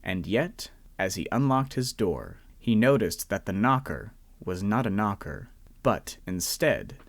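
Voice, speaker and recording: A man narrating a radio drama in a measured, expressive storytelling manner. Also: lowish voice, creaky, no room sound.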